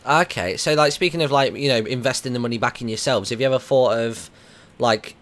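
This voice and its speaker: A man's voice: medium pitch, a little fast, a bit bright. A British man hosting a casual radio interview, asking chatty, informal, rambling questions. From United Kingdom.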